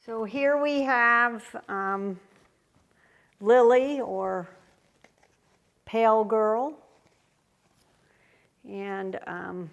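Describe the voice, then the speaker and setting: A woman artist explains her work on a tour of a gallery, taking her time. Her speech is slow with many ums and pauses, and her voice has a gravelly fry. She sounds relaxed and explanatory. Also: fairly high pitch, muffled recording. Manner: unhurried, with frequent ums and pauses. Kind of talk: casual explanatory walk-through. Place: art gallery, indoors.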